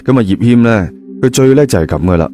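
A man's voice: mid-range voice, expressive, fast, mellow, faint music behind. A man with a Hong Kong Cantonese accent telling a story in a colloquial narrating style. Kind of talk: colloquial audio-novel narration.

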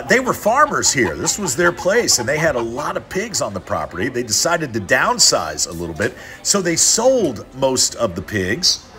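A man hosting a travel show, narrating a scripted voice-over in an upbeat, enthusiastic way. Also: mid-range voice.

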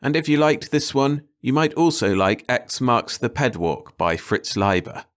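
A man's voice: medium pitch, expressive, unhurried. A man narrating a short story as a scripted audiobook reading.

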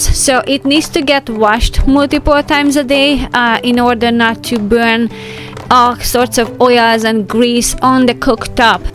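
A woman in her thirties narrating a homemaking vlog in a relaxed, chatty voice-over with fillers and light laughs. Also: a little high. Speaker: homemaking vlogger.

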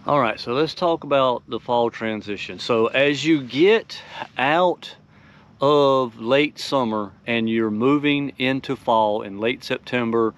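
A man's voice: mid-range voice, slow pace, dull audio. Middle-aged man explaining fishing on a lake. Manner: relaxed, filler-heavy explaining with many uhs. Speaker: fishing channel host. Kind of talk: casual fishing vlog. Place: outdoors on a boat on a lake. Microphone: action camera on the boat.

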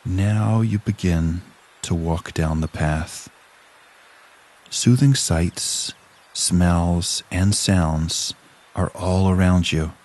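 A man narrating a scripted guided relaxation exercise in a calm, soothing, measured manner with long pauses. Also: low-pitched.

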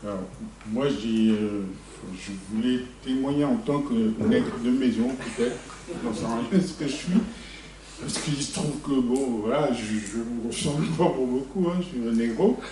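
A man from Senegal giving a blunt, animated personal testimony from the audience at a debate. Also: mid-range voice, a little slow, slight background noise. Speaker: audience member. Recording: echoey room, mic far off.

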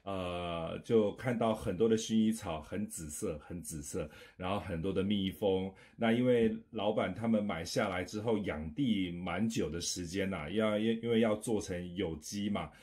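A man's voice: deep voice, moderate pace, few pauses, a little mellow. A middle-aged man, chatting informally to camera as a travel vlogger in a small office. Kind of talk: casual chat to camera. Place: small indoor home office.

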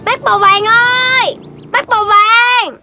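A woman voicing a playful, naive little puppy boy in a children's cartoon, childlike and expressive. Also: very high voice, slow pace, full character voice. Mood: calling out. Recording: music playing behind the voice.

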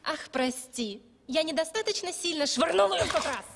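Snooty mean young ballerina, high voice, cartoon dub, echoey hall. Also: female voice, expressive, moderate pace, vocal fry, background noise. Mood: sneering, boastful. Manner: haughty, sneering. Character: haughty, spiteful young ballerina girl, spoiled rival. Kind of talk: animated film dub acting.

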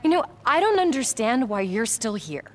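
An American woman playing a guarded, fiery army lieutenant in a video game, blunt and halting. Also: fairly high pitch, sing-song. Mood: hurt, angry. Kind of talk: video game cutscene acting.

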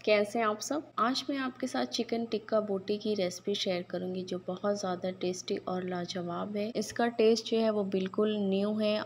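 A woman's voice: mid-range voice, darkish tone. A woman hosting a cooking channel, talking through a recipe step by step in a friendly, instructive voice-over, mixing in many English words.

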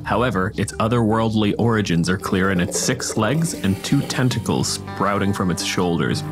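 A man's voice: on the low side, normal speed, over music. A man from Canada co-hosting a podcast, moving between discussion and read-out descriptions. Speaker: podcast co-host, physicist. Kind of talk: podcast discussion and scripted reading.

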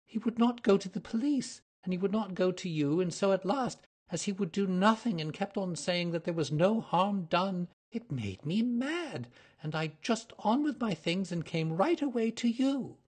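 A man's voice: high voice, animated, moderate pace, hardly any pauses, breathy edge, pitched above the performer's own voice. A man reading the part of a flustered, chatty young woman client who rambles eagerly in a raised voice. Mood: rambling. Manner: eager, rambling, breathless pleading. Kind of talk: audiobook character reading.

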